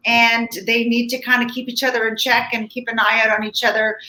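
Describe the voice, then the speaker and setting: A woman with a fairly high, bright voice talks through a movie review in a casual, conversational style. Her sound is clearly roomy, with echo around the words. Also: level tone, brisk. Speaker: film critic co-hosting a movie review. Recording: echoey, reverberant room.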